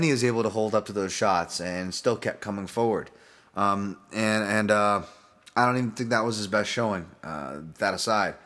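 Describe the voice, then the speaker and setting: Low-voiced man with a Hawaiian accent. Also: a bit bright. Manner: rambling, frequent restarts and casual swearing. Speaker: podcast host and fight analyst. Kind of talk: casual solo podcast breakdown.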